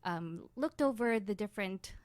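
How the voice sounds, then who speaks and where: A woman guest on a radio show in the studio, talking softly and casually about her plans as a musician and new programmer. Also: medium pitch, animated, normal speed, no echo.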